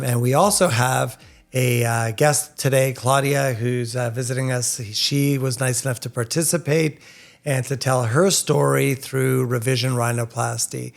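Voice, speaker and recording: A man speaking as a surgeon hosting a casual podcast, explaining things in a conversational, slightly halting way. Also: mid-range voice, vocal fry.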